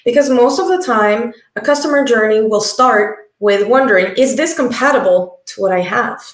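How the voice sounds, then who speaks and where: A young woman with a Quebec French accent, giving a conference talk on a headset mic with earnest, explanatory, sometimes slow and emphatic delivery. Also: on the high side, reverberant. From Quebec, Canada. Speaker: conference speaker. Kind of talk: presentation talk.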